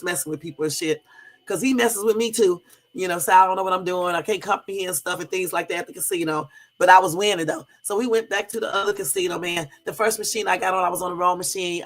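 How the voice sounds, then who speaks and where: A middle-aged woman, hoarse from a cold, hosting a casual livestream and telling stories straight to her viewers. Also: lowish voice, fairly bright tone. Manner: chatty storyteller, talks straight to viewers. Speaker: YouTube livestream host.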